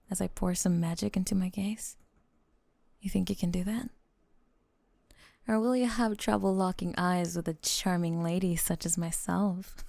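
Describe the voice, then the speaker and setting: A woman playing a doting, possessive lamia college roommate in an ASMR roleplay, speaking softly and coaxingly, close to the microphone. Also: medium pitch, no room sound. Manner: coaxing, doting, breathy pauses with sighs and soft giggles. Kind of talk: ASMR roleplay acting, spoken to the listener. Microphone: close microphone in a dry space.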